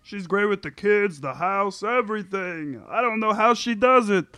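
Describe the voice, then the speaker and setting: A man's voice: high voice, lively intonation, moderate pace, pushed and bright, clean tone, no echo. A man playing a whiny, put-upon sitcom husband and father in a comedic table read, with an exasperated, pleading delivery. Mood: chatty, explaining.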